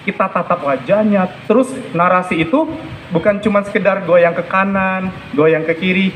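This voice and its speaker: High-pitched man coaching with emphasis. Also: normal speed, fairly bright tone, slight background noise, poor audio. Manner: explanatory, emphatic, repeats key points. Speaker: storytelling coach and judge giving feedback. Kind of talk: spoken feedback with demonstrations.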